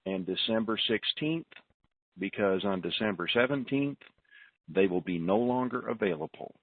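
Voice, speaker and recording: Man, call host, low-ish voice, unhurried plain delivery, informal announcements, tinny telephone sound. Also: a little hiss or hum. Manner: slow, deliberate, plain-spoken. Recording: narrowband telephone conference line.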